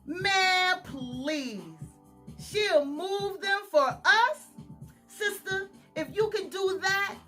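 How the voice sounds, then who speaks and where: A woman reading a storybook puts on a big, boastful biker gang boss who growls out his threats. His voice swings between low rumbles and cocky jeers. He is a storybook tough guy, all bluster. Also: very slow, bright, forceful tone, soft background music, full character voice.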